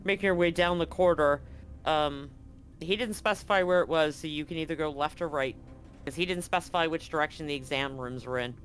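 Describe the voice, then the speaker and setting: A man improvising descriptive narration as game master of a tabletop horror game, in a halting, descriptive way. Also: on the high side, a bit bright, soft background music.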